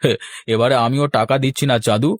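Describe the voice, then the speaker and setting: A man's voice: mid-range voice, fairly even intonation, fairly quick, dry recording, natural voice. Male actor as tight-fisted, quarrelsome cartoon husband; sarcastic scolding, medium register, dry studio sound. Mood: dismissive, argumentative. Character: stingy, grumbling married man who loves free feasts. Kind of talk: Bengali cartoon voice acting.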